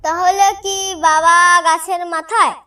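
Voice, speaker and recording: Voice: extremely high pitch, steady tone, unhurried, distant mic, noisy background. A performer voicing a curious, stubborn and anxious little village boy in a cartoon, full of insistent questions. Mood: frightened. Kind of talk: cartoon story dialogue.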